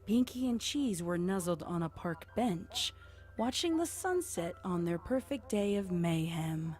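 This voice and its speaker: A woman's voice: fairly low pitch, big pitch swings, measured pace, a little mellow, faint music behind. A woman reading scripted story narration as the narrator of an audio tale.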